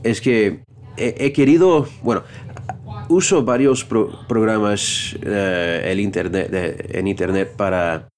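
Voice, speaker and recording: A man's voice: lowish voice, a little hiss or hum. Hesitant man searching for words. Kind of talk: casual conversation.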